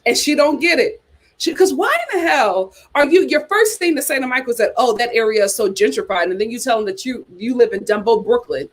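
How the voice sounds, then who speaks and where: Host: woman, slightly high voice, sassy and animated, slangy live-chat commentary. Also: brisk. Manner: uses casual slang like "child" and "y'all". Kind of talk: casual chat.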